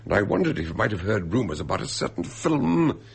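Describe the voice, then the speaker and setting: A man acting in a radio drama as an eccentric, shrewd detective, expounding a murder with deliberate, theatrical emphasis. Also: fairly low pitch, very animated, gravelly, a little hiss or hum. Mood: explanatory.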